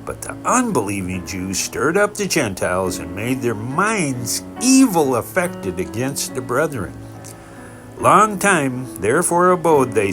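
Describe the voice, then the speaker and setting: A man reading scripture aloud in a solemn narrated style, with deliberate, emphatic phrasing and pauses. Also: mid-range voice. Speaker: scripture reader. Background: background music.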